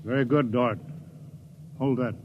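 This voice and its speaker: A man playing a grave, decisive starship captain with an authoritative, deliberate delivery in an old radio drama. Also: mid-range voice, fairly even intonation, close to an everyday voice. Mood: brisk, commanding. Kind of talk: mid-century radio drama acting. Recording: dull old radio recording, faint noise.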